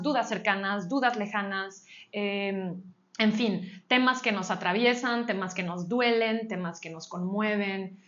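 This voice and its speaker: A woman's voice: medium pitch, a bit bright. Mexican woman co-hosting a podcast. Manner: measured, piles up lists of phrases. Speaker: podcast co-host. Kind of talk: reflective podcast conversation. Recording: slightly dull lo-fi sound.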